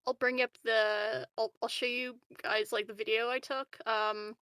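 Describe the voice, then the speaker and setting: A woman's voice: fairly high pitch, level tone, a little slow, bright, forceful tone, clean tone, no room sound. A woman playing a tabletop roleplaying game, chatting casually in character in a giggly, hesitant, rambling way. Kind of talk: casual in-character improvised chat.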